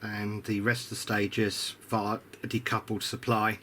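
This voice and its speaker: A man's voice: fairly low pitch, flat delivery, measured pace, sharp tone, slight background noise. A man giving a casual workbench commentary as a hobbyist repairer, musing and hesitant as he thinks aloud. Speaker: hobbyist radio repairer presenting a restoration.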